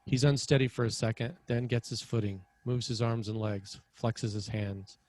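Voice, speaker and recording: A man's voice: medium pitch, steady tone, normal speed, darkish tone, no echo. A man reading screenplay action lines as narrator at a table read. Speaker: screenwriter narrating stage directions.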